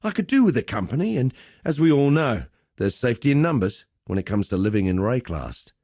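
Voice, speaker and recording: A roguish gladiator master from a video game, easygoing and cocky. His mellow voice swings freely in pitch as he teases and goads with wry swagger. The recording is close and dry. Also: sing-song, brisk, no echo. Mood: sly, casual. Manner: wry, teasing, conversational swagger. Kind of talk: video game character dialogue.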